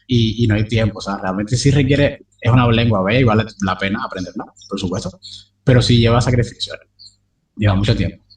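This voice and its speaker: A man's voice: lowish voice, brisk, distant mic, rough recording. A young man talking casually as an interview guest, rambling with many fillers and restarts. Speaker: polyglot interviewee.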